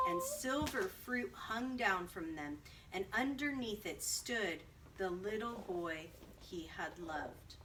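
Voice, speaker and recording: A woman narrating a children's story aloud in an expressive read-aloud style. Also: fairly high pitch. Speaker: storybook reader. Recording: distant mic, faint background noise.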